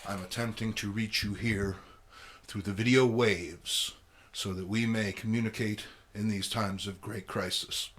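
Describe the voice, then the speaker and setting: A man's voice: on the low side, steady tone, unhurried, a bit bright. A man hosting a dramatic comic book reading, speaking in a theatrical, mock-grandiose way. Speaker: host and comic book reader. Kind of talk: theatrical introduction and dramatic storytelling.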